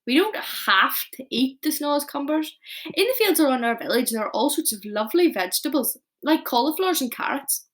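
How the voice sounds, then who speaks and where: A curious, polite little girl asks eager questions in a high, lilting voice, put on by a woman reading aloud. Her pitch jumps well above the narration. It is a lively storybook telling. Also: fairly quick.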